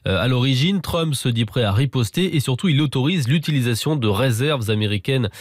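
Brisk male radio news anchor, dry sound. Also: medium pitch, animated, dry recording. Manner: brisk, with frequent fillers and restarts. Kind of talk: radio newscast, morning bulletin. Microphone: studio microphone.

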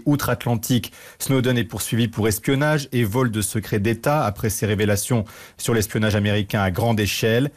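A man giving a fluent, measured radio news report as a correspondent. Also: mid-range voice. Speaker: political correspondent.

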